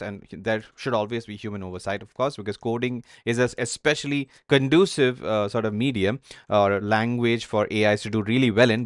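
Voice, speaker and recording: A man's voice: mid-range voice, no echo, studio quality. A man co-hosting a talk show, discussing news stories casually, with many fillers and hesitations. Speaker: podcast co-host.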